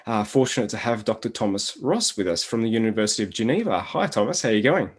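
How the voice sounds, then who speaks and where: A man with an Australian accent hosts an interview over a video call, talking in a friendly, halting way with lots of fillers. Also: fairly low pitch, expressive, breathy edge. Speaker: host. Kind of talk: interview hosting.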